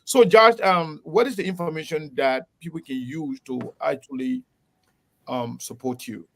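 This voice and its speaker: A man hosts a casual live interview. His voice sits a little high and has a gravelly edge. He is animated and laughs often, repeating words as he talks. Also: unhurried, a little hiss or hum. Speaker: host.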